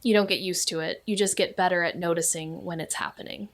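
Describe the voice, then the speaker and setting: A woman playing a young, world-weary sleuth who narrates a noir audio drama in a measured, deliberate, somber way, as an observer. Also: lowish voice, steady tone, no echo, lightly acted. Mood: knowing, resigned.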